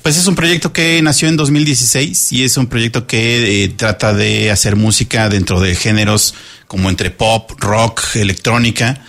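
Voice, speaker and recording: A man with a Mexican accent, a musician guest on a radio interview, talking relaxed with many fillers and tag questions. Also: on the low side, moderate pace, gravelly.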